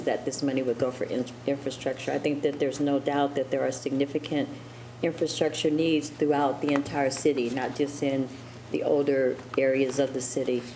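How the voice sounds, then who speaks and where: A middle-aged woman alderman making measured, persuasive remarks at a city council meeting, seated at a dais with a desk microphone. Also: extremely low pitch, warm tone, a little hiss or hum, rough recording.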